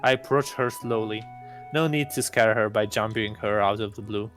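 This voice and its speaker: A man's voice: on the low side, moderate pace, a bit bright, soft background music, lightly acted. A man voicing a pompous young god in disguise. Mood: brooding. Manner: pompous, disdainful. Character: arrogant self-proclaimed god posing as male exchange student. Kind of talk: visual novel read-aloud.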